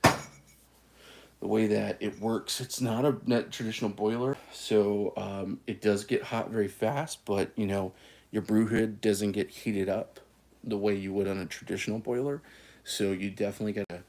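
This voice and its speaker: A man's voice: lowish voice, fairly even intonation, unhurried, creaky, a little mellow. A man from California hosting a casual coffee live stream, easygoing and folksy, with many fillers and restarts. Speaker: coffee channel host.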